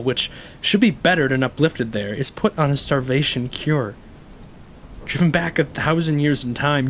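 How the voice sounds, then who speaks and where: An actor playing a bitter, wounded ex-convict in an audio stage play, intense and cunning, with dramatic, halting, emphatic delivery. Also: male voice, on the high side, natural voice. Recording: rainy ambience and faint noise.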